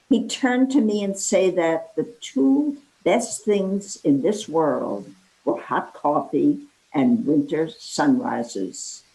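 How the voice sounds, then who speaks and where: A woman reading a narrative poem aloud in a measured, deliberate way. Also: sits low, dark tone, low-quality recording. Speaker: poetry reader.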